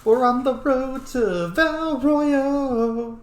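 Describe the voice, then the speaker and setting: A man's voice: very high voice, drawn-out words. A man giving casual, joking and sometimes profane commentary while playing a roleplaying game. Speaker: game player and host of a roleplay playthrough. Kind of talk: casual gameplay commentary, reading in-game messages aloud. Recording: roomy echo, faint background noise.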